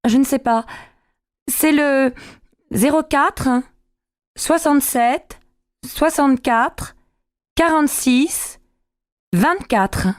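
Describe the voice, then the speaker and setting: A woman with a high voice reads dialogue lines carefully and clearly. The recording is close and dry. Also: extremely slow, pauses often, dry recording. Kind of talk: scripted learner dialogue.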